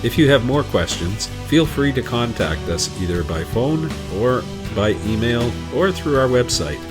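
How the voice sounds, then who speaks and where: A man's voice: mid-range voice, very animated, unhurried, music in the background. A man narrating a scripted promotional introduction in a polished, welcoming way.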